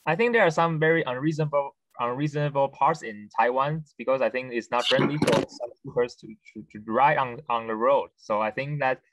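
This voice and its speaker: A young man, a club member, making halting, hesitant casual remarks over a video call. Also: medium pitch, a bit bright. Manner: hesitant, restarting often. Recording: dull dry video call audio.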